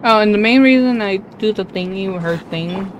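A woman's voice: mid-range voice, normal speed, dull audio, a little hiss or hum. A woman livestreamer chatting casually and informally, rambling and thinking aloud as she plays. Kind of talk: casual game stream chat. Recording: game music behind the voice. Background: video game music.

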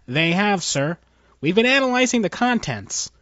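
A man's voice: high voice, very animated, moderate pace, fairly bright tone, no room sound, lo-fi sound, pitched above the performer's own voice. A man voicing a nervous, deferential alien chief technologist in a science fiction audio story, speaking hesitantly. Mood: nervous, explaining.

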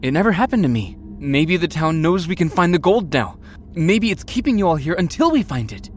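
A man's voice: on the high side, big pitch swings, a little fast. An actor playing a secretive teenage boy who is caught out, defensive and pleading in an audio drama. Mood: confessing.

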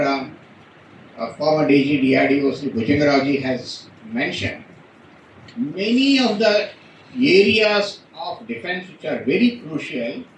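A middle-aged man with an Indian accent gives a speech from a podium. His voice sits fairly high, and it is heard from a distance in a very echoey hall. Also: a bit bright. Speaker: guest speaker. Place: auditorium, indoors. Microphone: podium microphone, recorded from far camera. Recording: echoey hall from far off, noisy.

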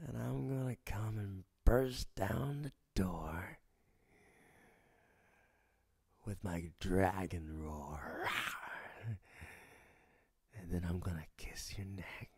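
A man's voice: fairly high pitch, very animated, slow pace, pauses often, fairly bright tone, heavily acted. A man playing a cocky, teasing fire-wielding boyfriend. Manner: teasing, playful, drops to close whispers. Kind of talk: ASMR boyfriend roleplay.

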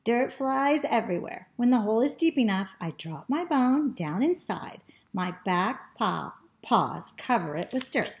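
Casting: woman as greedy, excitable boy dog for a children's storybook; raised pitch, unhurried, exclamatory delivery. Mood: excited, busy. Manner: exclamatory, drawn-out words and short repeated sniffing phrases. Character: excitable, greedy male dog narrating his food adventures. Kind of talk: expressive children's storybook reading.

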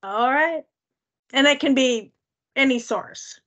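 Female webinar attendee, somewhat high-pitched, asking casual questions over a video call. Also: takes it slowly, a bit bright, muffled recording. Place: indoor room, on a video call. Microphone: video call microphone.

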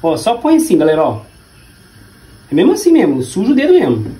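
A man's voice: sits high, wildly swooping pitch, brisk. A man in his thirties with a Brazilian accent, chatting and joking in slang as he demonstrates home cooking to camera. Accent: Brazilian Portuguese. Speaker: home cook and vlog host. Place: small home kitchen, indoors. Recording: echoey kitchen, clattering dishes and noise.

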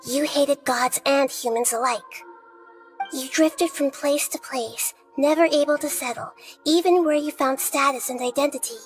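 A woman voicing a small, gentle child goddess of wisdom in a video game, soft and childlike, measured and philosophical. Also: high-pitched.

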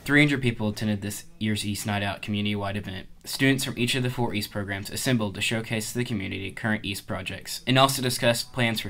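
A man's voice: on the low side, fairly bright tone. A teenage boy reporting for a school news show, reading a scripted voice-over. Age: teens. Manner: brisk read-aloud delivery.

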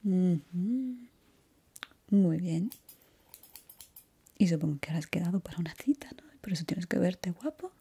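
A young woman whispering softly and breathily in a slow, soothing ASMR roleplay as a barber. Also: on the low side, lively intonation, breathy edge. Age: young adult.

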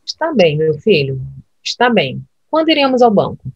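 Husky-voiced, humble mother. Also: medium pitch, big pitch swings, moderate pace, no echo, highs cut off. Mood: resigned, caring. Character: humble, caring, questioning mother of a grown son. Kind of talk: audio drama acting.

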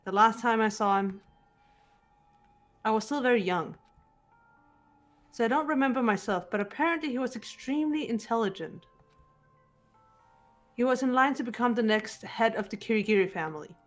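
A woman voicing a composed, guarded teenage girl detective in a video game, speaking in a measured, serious way, with bitterness held in check. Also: fairly high pitch, lively intonation, a bit bright. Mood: controlled, reflective. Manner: measured, serious, deliberate delivery. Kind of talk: amateur video game voice acting. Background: background music.

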